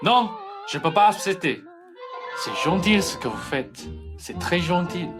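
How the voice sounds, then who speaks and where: A woman's voice: on the low side, animated, unhurried, music in the background. A young woman playing an earnest, stubborn young male thief in a French radio farce, blunt and protesting. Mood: stubborn refusal. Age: young adult. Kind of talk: French stage farce performed as student radio play.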